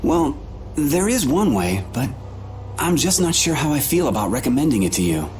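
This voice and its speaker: A man's voice: a little high, very animated, normal speed, darkish tone, soft background music. A man playing a helpful, cautious family man in a video game, with a plain-spoken, folksy manner.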